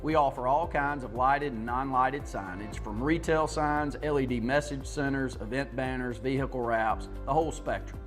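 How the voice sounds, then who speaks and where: A man reading a scripted radio ad for a local business in a brisk, informative manner. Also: medium pitch. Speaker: ad announcer. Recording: music bed underneath.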